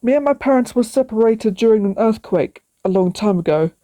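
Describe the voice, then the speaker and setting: A woman voicing a gentle, lonely young dragon princess in a storybook, easily amazed. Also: fairly high pitch, expressive, a little fast, no room sound, natural voice. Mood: sad.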